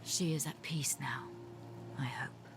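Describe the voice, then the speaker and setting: Calm sorceress hero for a game, low soft voice, gentle but determined, music behind. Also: female voice, slow pace, whispered. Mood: solemn, calm. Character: composed young sorceress adventurer, gentle and resolute. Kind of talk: dramatic video game acting.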